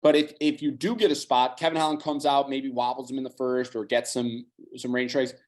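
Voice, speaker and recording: Slightly hoarse man, quick betting talk. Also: medium pitch, fairly even intonation. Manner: fast, analytical, casual swearing. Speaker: podcast co-host. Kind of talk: casual betting chat.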